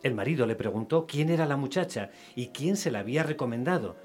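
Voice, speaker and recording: Medium-pitched male radio theatre narrator. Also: animated, fast talker, a little mellow, soft background music.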